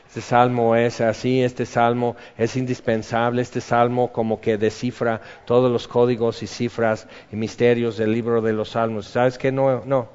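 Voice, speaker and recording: A man's voice: mid-range voice, flat delivery, measured pace, few pauses, a little mellow, clean tone. A man preaching a sermon in a conversational way, reading psalm verses aloud. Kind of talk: sermon, Bible teaching. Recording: dull reverberant hall recording.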